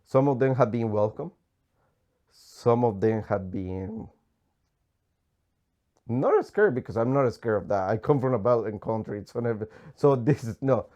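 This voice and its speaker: A man's voice: mid-range voice, animated, unhurried, pauses often, a little mellow. A man from Colombia with a Colombian Spanish accent, a native Spanish speaker, talking passionately and emphatically as a guest economist in an interview. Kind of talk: candid political interview.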